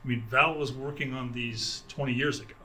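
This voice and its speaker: A man giving an informal product explanation in an interview as a sales director, speaking haltingly with restarted phrases. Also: mid-range voice, fairly even intonation, sharp tone, slight background noise. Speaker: sales director for a loudspeaker maker. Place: exhibit room at an audio trade show.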